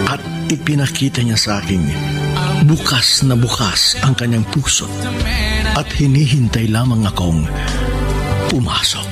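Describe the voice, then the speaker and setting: A man with a Filipino accent delivering earnest, dramatic scripted narration as a radio drama host reading letters. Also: mid-range voice. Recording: music bed under narration.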